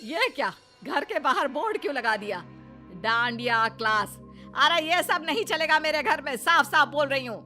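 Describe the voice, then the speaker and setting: A bossy, greedy older cartoon mother-in-law, played by a woman. Her voice is fairly high and very bright, full of nagging, drawn-out taunts. Music plays underneath. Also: expressive. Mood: scolding. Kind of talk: animated moral-story cartoon acting.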